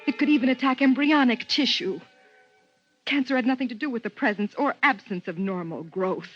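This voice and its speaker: An actress playing Rose, a troubled, sharp woman biologist, in 1950s radio drama style. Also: on the high side, fairly quick, lightly acted. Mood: numb, bitter. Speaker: actress and first-person narrator. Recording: muffled 1950s radio recording with music.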